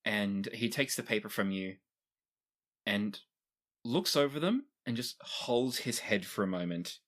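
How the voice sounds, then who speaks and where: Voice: medium pitch, lively intonation, a little slow, a bit bright. A game master speaks in a mid-range voice, describing scenes and characters for a tabletop roleplaying game. The delivery is that of a storyteller talking to players at the table.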